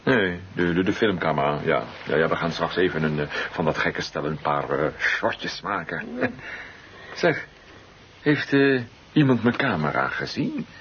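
An excitable, blustering, stammering man. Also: sits low, unhurried, slight background noise, exaggerated voice. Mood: sheepish. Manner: stammering, repetitive, indignant. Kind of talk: comedic radio play acting. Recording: muffled old radio broadcast.

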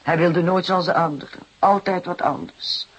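Brief: worn, aggrieved working-class mother for radio drama, very husky and breathy, plaintive, hesitant delivery. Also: medium pitch, fairly even intonation, moderate pace. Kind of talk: Dutch radio drama acting.

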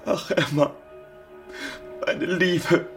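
An actor playing a meek, tender, sorrowful husband who speaks gently and haltingly in a radio drama. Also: male voice, on the high side, fairly even intonation, music in the background. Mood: tender, grieving. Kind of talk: German radio drama acting.

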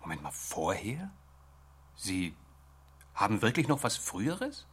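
An actor playing a pragmatic, insistent space agency chief in a German radio play, husky and halting in dialogue. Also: male voice, fairly low pitch, wildly swooping pitch, noisy background. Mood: wary.

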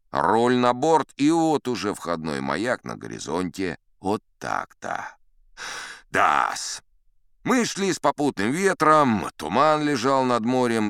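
A man's voice: low voice, gravelly, fairly bright tone, smooth, dry recording, clean studio sound, full character voice. An actor playing a boastful old sea captain who spins yarns in a pompous, chatty, theatrical storytelling manner, savouring his words. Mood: persuasive, jaunty, then vivid storytelling. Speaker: audiobook actor narrating in character. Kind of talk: theatrical storytelling in an audio play.

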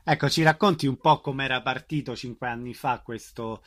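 A man's voice: medium pitch, a little fast, fairly bright tone. A man conducting a conversational radio interview as the interviewer.

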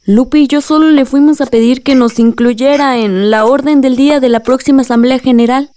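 An earnest young Mexican daughter, played by a woman in a radio soap opera. Her voice is high and soft-toned. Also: fairly even intonation, moderate pace, a little hiss or hum, natural voice. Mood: earnest pleading.

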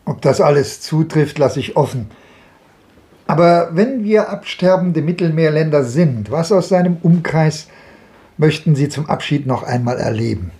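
An actor playing a polite, sceptical questioner with measured, formal phrasing in a 1950s radio drama. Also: male voice, fairly high pitch, expressive, dark tone, lightly acted. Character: polite, sceptical questioner among old men.

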